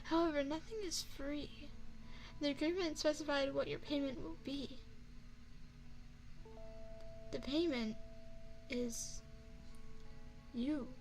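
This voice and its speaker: Woman doing a high pitched vampire lady chef, posh n formal, seductive, asmr roleplay up close, faint music behind. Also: rough recording. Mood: coy, matter-of-fact. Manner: formal, refined, poised, teasing. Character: elegant vampire woman chef, courtly, seductive, quietly predatory. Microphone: close binaural microphone.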